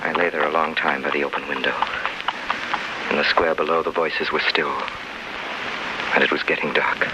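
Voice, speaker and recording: A man's voice: rumbling low, level tone, normal speed, pauses often, very noisy. An actor playing a saddened, earnest young man who tells of his past and his dreams in 1930s radio drama style. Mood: melancholy reverie. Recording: dull 1930s radio recording, loud music behind.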